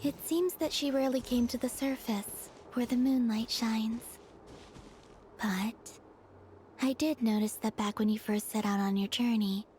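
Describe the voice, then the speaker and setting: Woman voicing a soft, high-voiced serene moon goddess. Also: slight background noise, close to an everyday voice. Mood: gentle, solemn. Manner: soft, measured, formal, gentle speech. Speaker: video game character. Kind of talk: scripted game voice acting.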